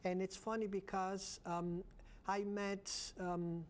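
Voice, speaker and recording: A man hosting a local cable talk show, speaking haltingly with many fillers and restarts. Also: high voice, little pitch movement. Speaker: host.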